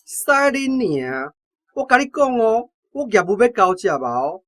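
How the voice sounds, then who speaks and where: An actor playing a crude, lazy, fat army sergeant in a radio drama, blustering and coarse, with a Taiwanese accent. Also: male voice, high voice, sing-song, unhurried, a bit bright, soft background music, full character voice. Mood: gruff, blustering.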